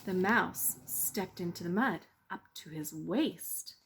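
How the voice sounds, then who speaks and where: A woman narrating a children's bedtime story in a measured, expressive read-aloud manner. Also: fairly low pitch, slight background noise.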